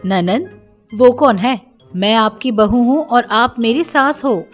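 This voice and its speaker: A woman's voice: on the high side, slow, soft background music. A woman voicing a confident, questioning young sister-in-law in a family story. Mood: bossy. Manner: questioning, assertive. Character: young student daughter, confident, concerned, determined sister-in-law.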